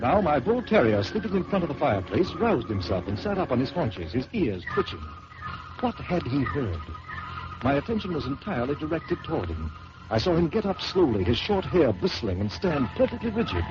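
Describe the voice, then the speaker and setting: A man hosting an old radio storytelling show, reading a ghost story aloud in a measured, theatrical way. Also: a little high, big pitch swings, over loud music. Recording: muffled old radio broadcast with hiss.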